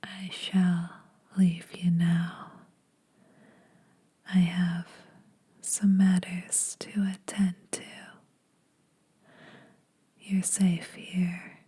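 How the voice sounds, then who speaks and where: A woman voicing a teasing, predatory yet protective female vampire in a breathy whispered ASMR roleplay, speaking slowly and a little formally. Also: fairly low pitch, monotone, mellow, heavily acted. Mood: calm, reassuring. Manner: slow, deliberate, faintly formal teasing.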